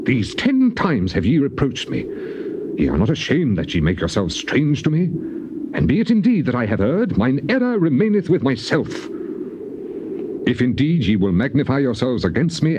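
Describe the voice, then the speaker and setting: A man's voice: medium pitch, lightly acted. A man playing an afflicted, anguished man in a dramatized scripture reading, declaiming with solemn passion against his friends. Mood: aggrieved protest. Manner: declamatory, impassioned, solemn archaic delivery. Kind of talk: dramatized King James Bible reading, theatrical. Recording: old noisy cassette tape transfer.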